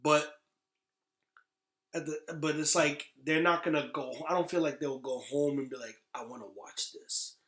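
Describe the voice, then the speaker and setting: The echo of the room surrounds a man with a somewhat high voice. He chats casually as a podcast guest, rambling and slangy, and leans emphatically on his points. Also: normal speed, a bit bright. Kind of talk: casual chat. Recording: echoey room.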